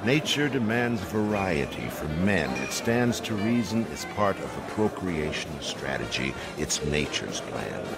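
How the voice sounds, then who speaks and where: Smug, swooping, low-voiced official. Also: male voice, sing-song, unhurried, creaky, hardly any pauses, full character voice. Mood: smug, expansive. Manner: boastful, jovial, condescending. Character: smug, worldly male official showing off forbidden club. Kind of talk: audio drama acting. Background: loud music.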